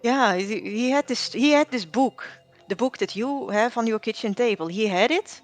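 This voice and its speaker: A woman's voice: fairly high pitch, moderate pace, soft background music. A woman telling her roleplay character's backstory, rambling with fillers and restarts. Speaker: roleplay player.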